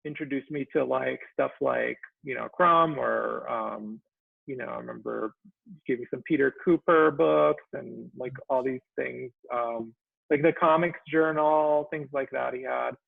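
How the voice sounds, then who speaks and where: A man of about forty from Israel, a guest talking in a casual chat, soft-spoken and halting, with many ums and trailing phrases. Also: mid-range voice, vocal fry, breathy edge, no echo. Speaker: guest cartoonist. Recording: tinny dry call audio.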